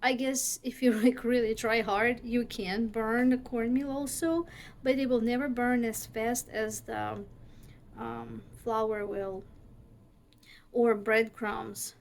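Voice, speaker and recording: A young woman hosting a casual cooking video, chatty and full of fillers, her voice raspy from wildfire smoke. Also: a little high, steady tone, takes it slowly. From northern United States.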